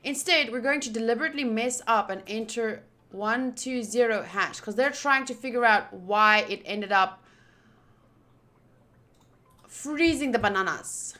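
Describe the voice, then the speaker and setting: Female visual novel streamer, bright and higher-pitched, excitable, casual, thinking aloud as she plays and reads. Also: measured pace. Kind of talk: casual playthrough commentary mixed with reading game text aloud.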